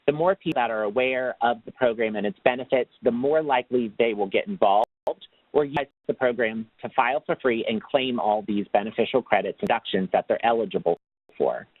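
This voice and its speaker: A man presenting slides in a webinar, in a formal read-out way that turns halting off script, heard over a phone line. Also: medium pitch, dry recording. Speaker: webinar presenter, tax assistance program manager. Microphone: phone or conference line. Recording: tinny, narrow phone line.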